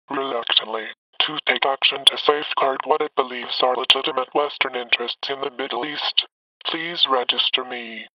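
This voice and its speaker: A man's voice: mid-range voice, dry recording, recorded from a distance, close to an everyday voice. A synthetic man's voice playing a grave American president giving a formal, stilted address to the nation. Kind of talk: formal scripted political address. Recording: dull lo-fi radio-like broadcast.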